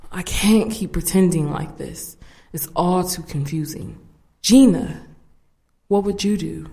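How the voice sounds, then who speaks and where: A woman's voice: lowish voice, measured pace, creaky, mellow. A woman voicing a firm, defiant blue vixen fiancée who scolds her partner, with music behind. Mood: troubled. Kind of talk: fan audio drama acting.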